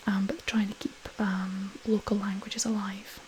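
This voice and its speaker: A woman with a Shetland Scottish accent speaks softly and breathily in a gentle, restrained ASMR poetry-reading style. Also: medium pitch, measured pace, slight background noise, poor audio. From Shetland, Scotland. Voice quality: soft, whispery, breathy. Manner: gentle, restrained, relaxed delivery.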